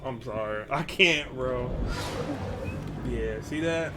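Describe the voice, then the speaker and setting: A man's voice: mid-range voice, slow pace, heavy background noise. A man hosting a reaction video, talking casually and directly to viewers in informal, slangy speech.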